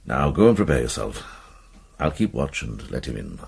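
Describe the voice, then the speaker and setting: An actor playing a kindly, worldly old medieval monk in a radio drama, measured and gently probing. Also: male voice, very deep voice, very animated, gravelly, husky, full character voice. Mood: firm, calm. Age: older.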